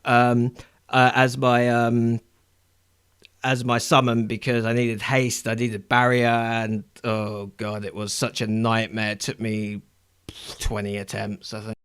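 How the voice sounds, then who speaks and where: Male podcast co-host, mid-pitched, conversational and hesitant, feeling for the right word. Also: monotone, unhurried, fairly bright tone, clear, resonant tone. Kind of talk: casual gaming podcast chat.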